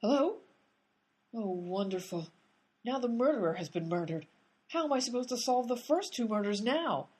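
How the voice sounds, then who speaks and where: A woman's voice: a little high, very animated, normal speed, darkish tone, breathy edge. A woman playing an exasperated, blunt, tough-talking detective in a comedic radio play.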